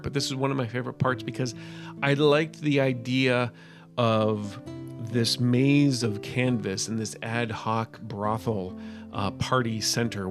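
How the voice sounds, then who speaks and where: A man narrating a story and its notes as a podcast storyteller, recorded close in a dry space. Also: mid-range voice. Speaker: narrator and author.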